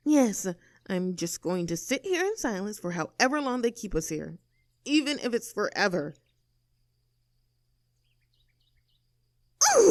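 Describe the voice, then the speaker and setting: A woman's voice: on the high side, darkish tone, no echo, studio quality. A woman playing a snooty, abrasive young mean girl in an audio roleplay drama, sarcastic and indignant as she talks straight at the listener. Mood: haughty, grudging.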